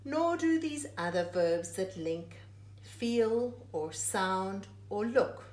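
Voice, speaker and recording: A woman's voice: mid-range voice, lively intonation, takes it slowly, a little mellow. A woman reading a rhyming children's picture book aloud like a teacher, phrase by phrase with dramatic emphasis. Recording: echoey room.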